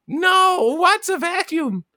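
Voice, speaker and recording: Woman doing a very high-pitched, bright little boy. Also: slow, dry recording, studio quality, exaggerated voice. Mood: bewildered. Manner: childish, simple declarations, deadpan silliness. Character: odd, dim little Boston boy named Bob. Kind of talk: improvised comedy character interview.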